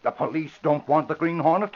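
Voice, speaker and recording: Guy playing stern old newspaper boss, highish voice, dry and curt, muffled old radio drama. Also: brisk, close to an everyday voice. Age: older. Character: stern older father, founder of a newspaper. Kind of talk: 1940s radio drama acting. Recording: muffled vintage radio recording.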